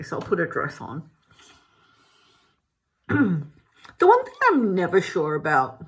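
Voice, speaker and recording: A woman who grew up in Malaysia, chatting to camera at home in a rambling, casual way with many restarts. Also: on the low side. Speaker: beauty vlogger. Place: home, indoors.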